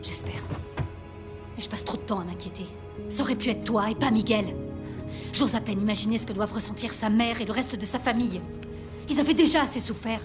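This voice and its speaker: A woman's voice: fairly high pitch, brisk, pauses often, music in the background, natural voice. A woman playing a policeman's worried, reproachful partner in a French audio drama, earnest in manner.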